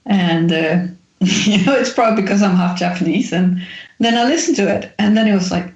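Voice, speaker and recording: A woman in her forties who grew up in Denmark speaks as an interview guest. She explains in a thoughtful, halting way, stopping often on fillers. Her voice sounds roomy and muffled, like lo-fi remote audio. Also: mid-range voice, darkish tone. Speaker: guest musician.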